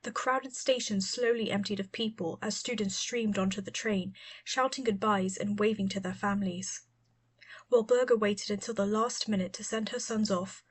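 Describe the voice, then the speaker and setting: A woman narrates an audiobook from a script. Her voice sits at a middling pitch as she reads the story. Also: breathy edge.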